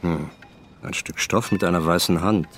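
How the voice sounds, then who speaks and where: An actor playing a calm, courteous senior policeman who probes tersely in a German radio drama. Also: deep voice, moderate pace, soft background music. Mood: dry, curious. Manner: dry, polite, terse questioning.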